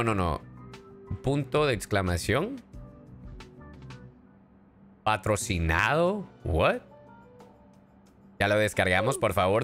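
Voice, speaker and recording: A man from Ecuador streaming a game, playful and animated, switching between English and Spanish. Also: fairly low pitch. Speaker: streamer and voice actor. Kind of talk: casual live game stream.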